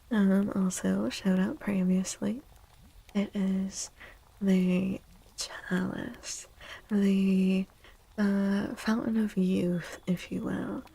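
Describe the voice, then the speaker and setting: Slow, breathy soft-spoken ASMR woman. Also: medium pitch, low-quality recording. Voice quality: breathy, often slipping into whisper. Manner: gentle, unhurried, pausing between phrases. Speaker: streamer giving tarot readings.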